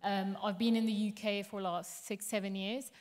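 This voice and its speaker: A woman from Pakistan, answering on a conference panel in a measured, unhurried way. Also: mid-range voice, fairly even intonation, darkish tone, long reverb tail.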